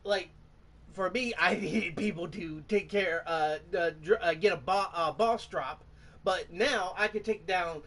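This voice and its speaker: A man hosting a gaming channel, giving casual, halting commentary and often groping for words. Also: high-pitched, a bit bright. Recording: voice distant from the mic.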